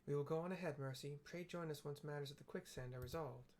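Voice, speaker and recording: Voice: mid-range voice, quick pace, darkish tone, a little hiss or hum, close to an everyday voice. A player reading a formal young woman leader. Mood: earnest. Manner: reading game dialogue aloud, formal.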